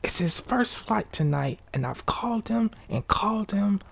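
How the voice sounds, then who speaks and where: A man voicing a little old elf, dutiful and hesitant, speaking softly in a bedtime story. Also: high voice, lively intonation, moderate pace, gravelly, voice pushed up, exaggerated voice. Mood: worried.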